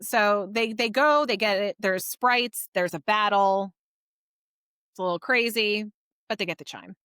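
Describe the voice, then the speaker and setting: Woman, podcast co-host, bright crisp voice, casual storytelling, conversational. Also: medium pitch, measured pace, dry recording, studio quality. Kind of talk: casual book discussion, recapping a story.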